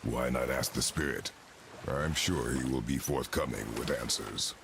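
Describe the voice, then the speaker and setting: Very deep gravelly warrior father. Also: normal speed, background noise. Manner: terse, commanding, formal wording. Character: stern, weary warrior father, terse and gruff. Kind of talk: video game cinematic acting.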